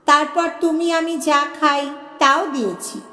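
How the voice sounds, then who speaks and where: A woman storyteller voicing a grave, knowing male plant collector who explains things slowly and deliberately in story dialogue. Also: high-pitched, steady tone, pushed and bright. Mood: matter-of-fact, ominous. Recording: roomy echo with long reverb.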